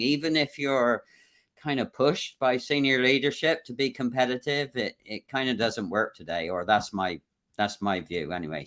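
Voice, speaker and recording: A man's voice: fairly low pitch, bright, forceful tone. A man hosting a casual livestream interview over a video call, joking and chuckling often and wandering between thoughts. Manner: jokey, chuckles often, rambling. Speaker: livestream host.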